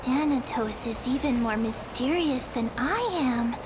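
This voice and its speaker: A woman voicing a gentle, curious young girl in a video game, with a hesitant manner. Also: fairly high pitch, unhurried, background noise, natural voice. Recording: loud music under the voice.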